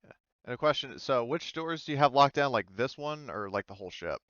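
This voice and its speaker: A man narrating a tabletop game as game master, in a halting, casual, conversational way. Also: medium pitch, animated, a bit bright, dry recording, highs cut off. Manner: halting, conversational, mild swearing.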